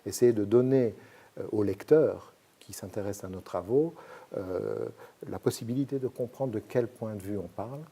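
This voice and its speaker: Measured academic man, husky. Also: on the low side, mellow. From Belgium. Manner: measured, explanatory, frequent hesitations. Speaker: sociologist researcher guest. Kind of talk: academic interview answers.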